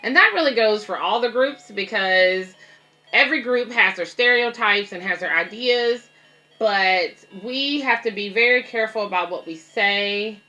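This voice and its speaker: A woman's voice: mid-range voice, normal speed, bright, forceful tone, highs cut off, faint music behind. A woman reading a picture book aloud as a babysitter, explaining it simply to children and parents with casual asides. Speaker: babysitter and children's story reader.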